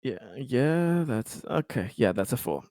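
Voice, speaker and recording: A man's voice: mid-range voice, very animated, measured pace, mellow, no room sound. A man playing a tabletop roleplaying game, chatting casually with the group.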